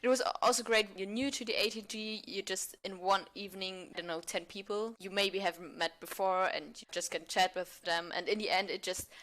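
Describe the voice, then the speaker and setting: A woman's voice: mid-range voice, brisk, hardly any pauses, fairly bright tone. Young female podcast guest, informal conversational delivery, mid-range voice. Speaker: podcast guest, amateur theatre member. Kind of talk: casual chat.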